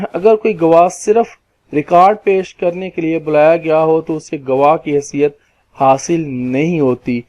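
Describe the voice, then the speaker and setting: A man with a Pakistani accent explaining legal points as a lawyer, in a formal, deliberate lecture style that sometimes repeats phrases. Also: a little high, a little mellow, muffled recording. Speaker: lawyer giving legal lessons.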